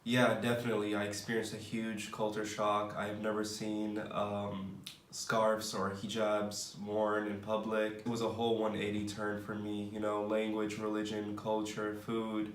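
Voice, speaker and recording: Flat, low-voiced young man. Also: measured pace. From San Francisco, United States. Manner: matter-of-fact, plainly stated answers. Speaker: interviewee. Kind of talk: casual interview answers. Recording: roomy, distant mic with faint noise.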